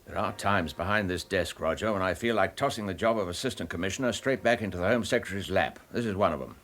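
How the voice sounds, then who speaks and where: A man's voice: low-pitched, fast, a bit bright. An actor playing a world-weary, authoritative senior police commissioner in a radio drama, testy with his subordinate.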